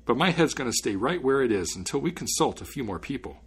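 A man's voice: mid-range voice, sing-song, quick pace, slightly rough. A man voicing a cheerful, fearless young student in a storybook, with a bold, casual, lilting delivery. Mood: cool, unafraid.

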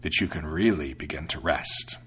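A man voicing a sly, greedy male storybook cat who talks slowly and dryly as he deceives. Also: extremely low pitch, a bit bright, breathy edge, pitched below the performer's own voice, full character voice. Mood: smug, dry. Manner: slow, dry, drawn-out delivery. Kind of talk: storybook character voice.

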